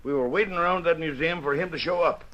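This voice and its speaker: An actor playing an excitable, plain-spoken police sergeant in an old time radio drama, telling things in a folksy way. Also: male voice, fairly high pitch, fast talker, muffled recording, slight background noise, lightly acted. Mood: excited, urgent.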